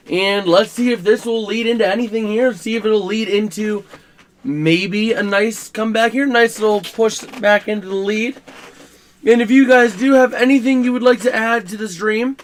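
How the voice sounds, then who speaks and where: A man hosting a casual live stream about a college football game, his voice scratchy and rough, chatting to viewers with plenty of fillers. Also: sits high. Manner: chatty, filler-heavy, talks to chat while working the stream.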